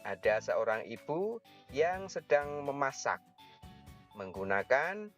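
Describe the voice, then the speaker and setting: A male teacher giving an online lesson, speaking slowly and patiently to children. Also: medium pitch, big pitch swings, faint music behind. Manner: slow, patient, talks directly to children.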